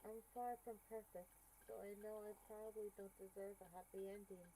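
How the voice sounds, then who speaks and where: A woman streamer reading game text aloud with deliberate, expressive delivery. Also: medium pitch, a little hiss or hum, poor audio.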